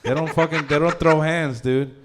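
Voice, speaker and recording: A man's voice: on the high side, fairly even intonation, normal speed. A man performing a crude, rambling stand-up comedy set, often laughing at his own jokes and filling gaps with uh and um. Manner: throws in lots of fillers like uh and um.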